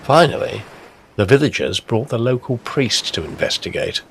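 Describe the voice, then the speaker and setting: A man's voice: mid-range voice, very animated, normal speed, soft background music. A man narrating a scripted, spooky Victorian tale of the coast. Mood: storytelling. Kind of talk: scripted ghost-story narration.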